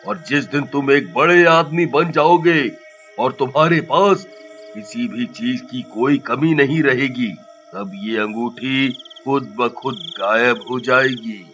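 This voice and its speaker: A man voicing a kindly, solemn ghost of a magic ring in a story, speaking in a measured, instructive way. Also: medium pitch, fairly bright tone. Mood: solemn, advising. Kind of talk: animated moral story dialogue. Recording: muffled audio with music behind.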